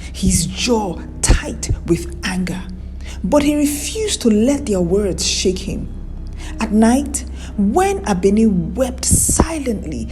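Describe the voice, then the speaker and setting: A woman narrating a scripted folktale in a measured, dramatic storytelling manner. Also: mid-range voice, a little mellow, slight background noise.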